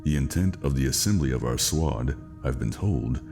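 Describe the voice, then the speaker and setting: A man's voice: a bass voice, animated, normal speed, vocal fry, over music, heavily acted. A man acting a composed military operative under questioning, precise and formal in his words. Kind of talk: screen drama acting.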